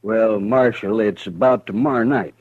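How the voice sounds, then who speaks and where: An earnest cattle-town citizen in a vintage radio western. He speaks in a measured way with a low, husky voice, and the old recording sounds dull. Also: a little mellow.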